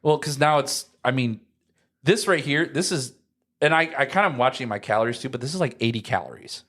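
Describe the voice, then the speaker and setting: A man's voice: medium pitch, lively intonation, fairly quick, fairly bright tone. A young man, chatting casually as a podcast co-host in a rambling, anecdotal, slangy way. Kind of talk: casual chat.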